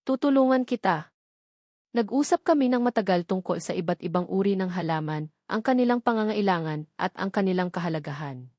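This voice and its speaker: A woman's voice: mid-range voice, darkish tone, no room sound. Woman narrating romantic drama, dry studio sound. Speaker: story narrator. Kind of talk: scripted first-person romance drama narration.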